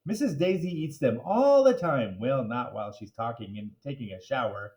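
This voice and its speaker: A man's voice: medium pitch, expressive, normal speed, highs cut off. A man reading a children's chapter book aloud, with playful, jokey asides to his listeners. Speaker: reader.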